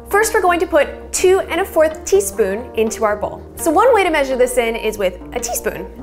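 A high-voiced woman in her thirties talks enthusiastically to camera from a small shop kitchen, explaining a drink recipe like a friendly tutorial host. Her tone is upbeat and chatty, and soft music plays under her voice. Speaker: bubble tea shop owner and host.

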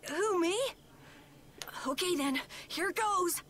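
Very high, boyish young adventurer. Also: female voice, takes it slowly, fairly bright tone, heavily acted. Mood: nervous. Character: whiny, boastful young boy adventurer. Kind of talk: anime video game dub acting. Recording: game audio over music.

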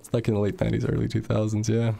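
A man's voice: fairly low pitch, completely flat delivery, fast talker, creaky, warm tone. A young man from Moldova, chatting casually while streaming a game, swearing freely and reading chat aloud. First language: Russian.

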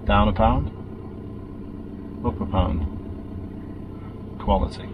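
Middle-aged man, driving instructor, steady and reassuring, recorded inside a car with road noise. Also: on the low side, slow pace, phone-quality sound. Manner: calm, encouraging, checks understanding often. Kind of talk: patient step-by-step instruction. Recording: noisy car interior.

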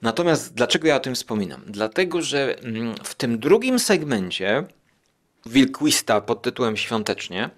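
A man hosting a podcast, giving a casual, rambling review and thinking aloud with drawn-out hesitations. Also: mid-range voice, very animated. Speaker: podcast host. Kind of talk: casual monologue review of radio plays.

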